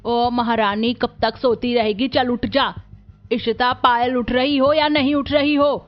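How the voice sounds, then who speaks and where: A woman's voice: on the high side, fairly even intonation, measured pace, highs cut off, close to an everyday voice. A woman voicing a cruel middle-aged aunt in a family cartoon, bossy and harsh as she scolds. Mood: scolding, threatening. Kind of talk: Hindi animated family story dialogue.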